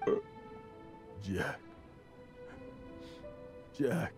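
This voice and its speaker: An actor playing a weary, guilt-ridden old drunkard adventurer in a video game, gravelly and heavy with emotion. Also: male voice, drawn-out words. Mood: sorrowful. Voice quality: gravelly, creaky. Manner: heavy, sighing, emotional delivery. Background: game music.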